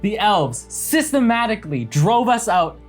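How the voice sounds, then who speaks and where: A man playing a bitter, aggrieved bird-folk survivor giving a measured oration in a tabletop game. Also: high voice, sing-song, faint music behind, heavily acted.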